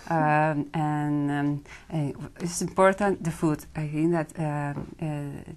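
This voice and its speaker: A woman's voice: low-pitched, steady tone, slow, darkish tone. A woman student from Ecuador, answering exam questions hesitantly with many fillers.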